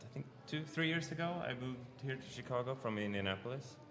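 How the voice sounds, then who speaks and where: Male band singer, Swedish native speaker, medium pitch, relaxed informal interview banter over faint music. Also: quick pace. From Sweden. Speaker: band vocalist being interviewed. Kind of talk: casual, joking chat.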